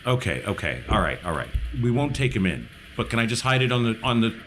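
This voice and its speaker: A man's voice: mid-range voice, sing-song, fast, a bit bright, a little hiss or hum. A man playing a tabletop role-playing game, chatting casually and bantering in character.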